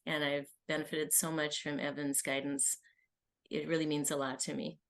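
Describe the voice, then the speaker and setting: A woman's voice: deep voice, level tone, normal speed, vocal fry. A woman in her early sixties, a coaching client talking candidly and chattily as a guest. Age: early 60s. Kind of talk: conversational personal account and question.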